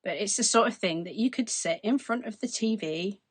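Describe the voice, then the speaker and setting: A woman in her forties, hoarse from losing her voice, chatting through a craft demonstration at her desk. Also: mid-range voice, normal speed, dry recording. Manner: chatty, explains as she works. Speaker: craft tutorial host. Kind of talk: casual step-by-step crafting demonstration. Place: craft desk indoors, overhead camera.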